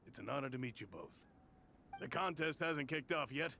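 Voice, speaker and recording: A man's voice: mid-range voice, lively intonation, moderate pace, sharp tone, a little hiss or hum. A man voicing a courteous, formal knight officer in a video game. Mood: formal, welcoming. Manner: formal, polite.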